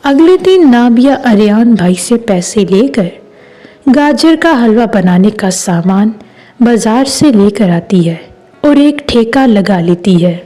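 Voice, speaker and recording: A woman's voice: on the high side, animated, takes it slowly, mellow, rough recording. A woman narrating a scripted story as a storyteller. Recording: music behind the voice. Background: background music.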